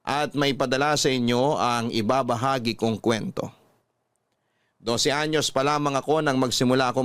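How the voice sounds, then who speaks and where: A man's voice: mid-range voice, dry recording. A Filipino man with a scripted, dramatic storytelling delivery, hosting and reading a listener's letter as narrator. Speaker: radio drama host and narrator. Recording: dry studio voice over background music. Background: music.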